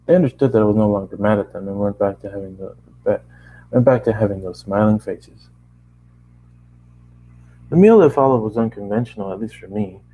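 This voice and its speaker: A man's voice: on the low side, fairly quick, long pauses, highs cut off. A man narrating first-person fan fiction in a conversational way, stumbling and re-reading lines as he goes. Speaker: amateur story narrator.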